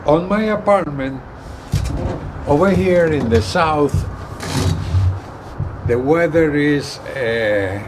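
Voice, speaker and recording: Man, slow halting delivery, mid-range pitch, casual how-to vlog, deliberate phrasing, clear background noise. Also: expressive. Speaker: vlogger, hobbyist radio user and truck driver. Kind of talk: casual explanatory how-to talk to camera.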